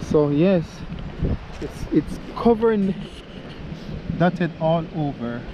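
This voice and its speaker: A man's voice: on the high side, expressive, slow pace, highs cut off. Chatty man vlogging outdoors. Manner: chatty, muses aloud, laughs at own ideas. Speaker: foraging vlogger. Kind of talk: casual outdoor vlog. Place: outdoors in a wooded glen. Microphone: action camera held at arm's length. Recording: outdoor camera audio with wind and leaf noise. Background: rustling leaves and outdoor ambience.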